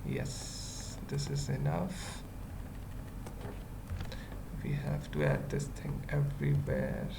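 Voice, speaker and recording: Slow, very creaky coding tutor with noisy background. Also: rumbling low, big pitch swings. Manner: halting, murmured fragments with long pauses. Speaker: web developer giving a tutorial. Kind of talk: unscripted coding screencast, thinking aloud. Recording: noisy room with keyboard typing. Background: computer keyboard typing.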